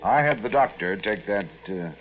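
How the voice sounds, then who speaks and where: Man playing a gruff, low-pitched army colonel. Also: expressive, moderate pace, lightly acted. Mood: stern, brisk. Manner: curt, sardonic, commanding. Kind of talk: 1950s radio drama acting. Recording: tinny, noisy 1950s radio recording.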